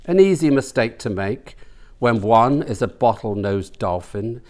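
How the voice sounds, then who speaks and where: Male reader as older man, gossipy, wry, pedantic, lively pitch, storybook dialogue. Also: unhurried, close to an everyday voice. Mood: wry correcting.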